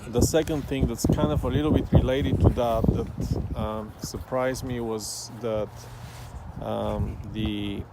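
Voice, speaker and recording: A man from Bulgaria whose first language is Bulgarian, talking casually and thoughtfully with many pauses and fillers. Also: mid-range voice, fairly even intonation, heavy background noise. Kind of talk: casual talk to camera.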